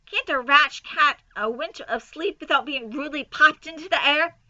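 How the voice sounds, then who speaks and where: A woman's voice: sits high, normal speed, pushed and bright, dry recording, lo-fi sound, voice pushed up. A woman voicing a grumpy, sarcastic male rat in a storybook reading, mocking and self-pitying. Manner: sneering, mocking, grumbling.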